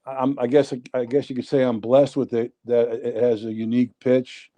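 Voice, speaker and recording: A man in his sixties with a thick New York accent spins long, winding stories as a guest on a casual chat show. His voice is notably dark and mellow, never bright, and he sits at an ordinary middle pitch. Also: moderate pace. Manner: rambling, animated storyteller. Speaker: interview guest. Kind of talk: casual storytelling.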